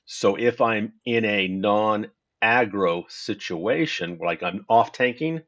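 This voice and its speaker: A man talking through his game setup in a relaxed walkthrough, explaining step by step and correcting himself as he goes. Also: lowish voice, muffled recording. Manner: unhurried and explanatory, with frequent self-corrections. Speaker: gaming tutorial host. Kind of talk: casual screen-recorded game walkthrough.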